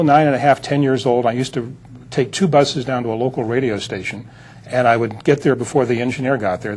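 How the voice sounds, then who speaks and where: A man's voice: mid-range voice, darkish tone, slightly rough, rough recording. An older man being interviewed as a producer, reminiscing in a rambling, anecdotal way. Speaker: interview guest, film producer.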